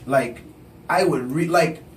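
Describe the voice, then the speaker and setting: Caribbean male songwriter, relaxed radio guest, faint room hum behind. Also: on the high side, roomy sound, recorded from a distance. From U.S. Virgin Islands. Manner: relaxed, conversational, quick bursts with laughs. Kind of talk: casual interview chat. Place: radio studio.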